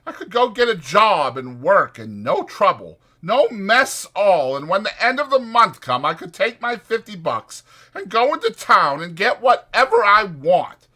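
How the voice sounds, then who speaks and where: A man's voice: sits high, big pitch swings, measured pace, bright, forceful tone, voice pushed up, heavily acted. A man voicing a short-tempered, exasperated migrant ranch worker, scolding in slangy rural American working talk. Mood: furious ranting.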